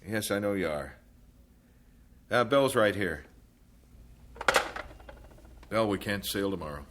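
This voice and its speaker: An earnest American husband and father in a radio play. His voice is on the low side and swings widely as he talks. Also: lively intonation, unhurried, a bit bright. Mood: grave, urgent. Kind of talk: old radio drama acting.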